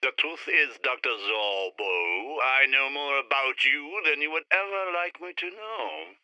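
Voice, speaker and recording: An actor playing a suave, scheming giraffe baron and corporate tycoon in a retro sci-fi radio play, grandiose and calmly menacing. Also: male voice, medium pitch, measured pace, clear, resonant tone, big-room sound, highs cut off, heavily acted.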